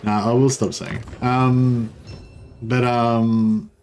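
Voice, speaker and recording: An Australian man talking casually as he streams a strategy game. His voice is mid-pitched and chatty, and he rambles and corrects himself as he goes. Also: little pitch movement, slow, a little mellow, slight background noise. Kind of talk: casual gameplay commentary.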